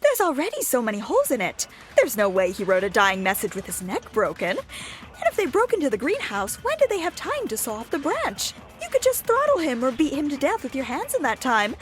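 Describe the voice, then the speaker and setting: A woman's voice: high-pitched, wildly swooping pitch, a little fast. A woman playing an eager, morbid young female sleuth in an audio drama, talking with gleeful theatrical relish. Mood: excited, assertive.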